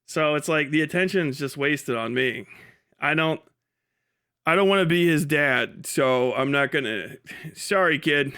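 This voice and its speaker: A man's voice: a little high, unhurried, pushed and bright, clean tone. A man hosting a casual livestream, talking in a sweary, dismissive, sardonic way. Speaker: livestream host.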